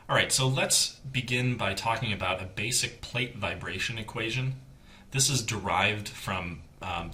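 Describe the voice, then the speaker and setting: A man delivers a technical lecture in a fairly low voice with a bright, forceful tone. He works as an engineering instructor would, explaining each point plainly and in measured steps. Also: slight background noise.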